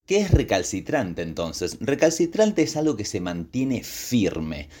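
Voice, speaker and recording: A man who hosts a language podcast, talking as a teacher to learners in a deliberate, explanatory way and pausing to explain words. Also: on the low side, sing-song, mellow. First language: Spanish. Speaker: podcast host and Spanish teacher.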